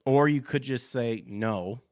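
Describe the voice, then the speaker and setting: Hoarse man ranting on a podcast. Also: medium pitch, slow pace, a little mellow. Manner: blunt, profane, ranting asides with fillers. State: hoarse from allergies. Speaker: podcast host. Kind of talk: casual commentary reading news articles aloud.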